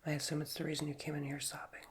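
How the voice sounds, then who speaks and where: A man's voice: a little high, monotone, very fast, slight background noise. A man with a nasal voice, whispering an ASMR roleplay as a chatty, rambling school guidance counselor while getting over a cold. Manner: chatty, rambling, self-mocking. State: recovering from a cold.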